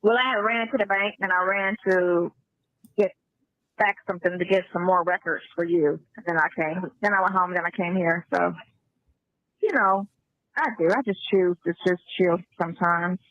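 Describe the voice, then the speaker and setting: A woman's voice: fairly low pitch, normal speed, no room sound. A woman talks casually over the phone in slang, often repeating herself. The played-back call sounds muffled and dry, with little room sound.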